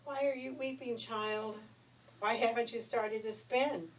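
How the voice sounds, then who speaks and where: A woman's voice: on the high side, steady tone, unhurried, distant mic, a little hiss or hum, voice pushed up, natural voice. Woman playing a stern, commanding storybook queen, slightly raised.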